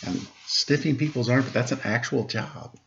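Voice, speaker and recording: A man with a creaky, gravelly edge to his medium-pitched voice, talking to kids like a teacher at story time. He is playful and wry, with a conversational feel. The recording is a little dull and lo-fi. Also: lively intonation, moderate pace, fairly bright tone, slight background noise. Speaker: teacher reading aloud to children. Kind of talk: picture-book story time, casual chat. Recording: dull, lo-fi sound.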